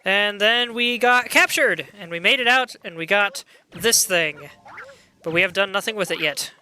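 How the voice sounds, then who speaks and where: A young adult game streamer with a loud voice, chatting casually and excitably while playing and greeting viewers. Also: medium pitch, moderate pace, clean tone, soft background music, low-quality recording. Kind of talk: casual live gameplay chat.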